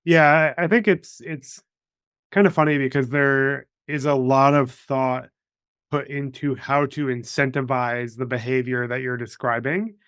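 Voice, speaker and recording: A man's voice: mid-range voice, steady tone, unhurried, fairly bright tone, clean tone, no room sound, clean studio sound. A man hosting a podcast in a casual, conversational and reflective way. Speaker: podcast host. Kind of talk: casual interview chat.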